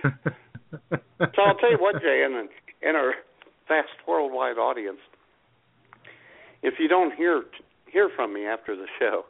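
A man's voice: medium pitch, lively intonation, slow pace, creaky, long pauses, breathy edge. A man co-hosting a podcast, making wry, self-mocking jokes in casual banter. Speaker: podcast co-host. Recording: tinny phone-like sound.

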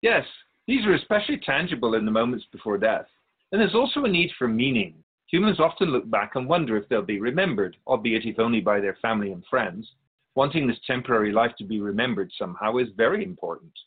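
A man playing a wise otherworldly keeper of souls in a radio drama, speaking in a measured, philosophical, instructive way. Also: medium pitch, animated, slightly rough, narrow, tinny audio. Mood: calm, instructive. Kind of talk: radio drama dialogue in interview form.

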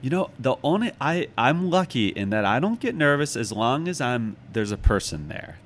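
A man's voice: medium pitch, very animated, moderate pace. A middle-aged American man talking casually as an interviewed author, reflective and thinking aloud. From United States, Chicago. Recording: clearly noisy background.

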